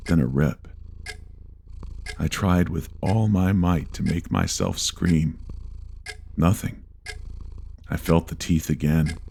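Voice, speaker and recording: A man reading a first-person horror story aloud in a slow, low and grave delivery. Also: gravelly, mellow, a little hiss or hum. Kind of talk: scripted horror narration.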